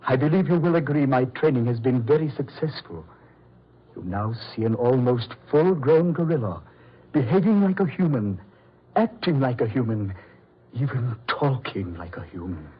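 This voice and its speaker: An actor in an old-time radio horror play as a proud, coldly polite mad doctor, speaking in a deliberate, theatrical way. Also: male voice, mid-range voice, expressive, breathy edge. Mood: smug, boastful. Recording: muffled vintage radio drama recording.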